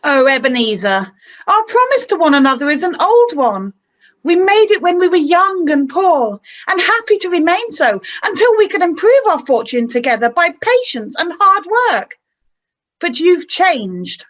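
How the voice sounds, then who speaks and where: Woman as heartbroken high-voiced young fiancée. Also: a bit bright. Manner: earnest, sorrowful. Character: Belle, heartbroken young fiancée, gently reproachful. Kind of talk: amateur radio play acting.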